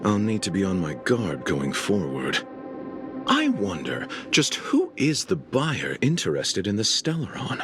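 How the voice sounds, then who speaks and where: An actor playing a calm, guarded traveling merchant in a video game, speaking politely and with measured composure. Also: male voice, lowish voice, music in the background, full character voice. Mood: composed, wary. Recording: game audio with clear background noise.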